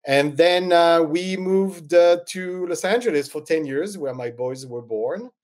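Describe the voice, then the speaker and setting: A man's voice: fairly high pitch, measured pace. A middle-aged man from France with a French accent, a podcast guest talking in a rambling, hesitant way with many ums. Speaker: guest, eyewear designer. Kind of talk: casual interview chat.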